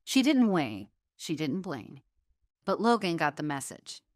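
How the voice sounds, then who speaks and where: Low-voiced, expressive woman narrator. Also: unhurried, dry recording, studio quality. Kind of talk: dramatic scripted story narration.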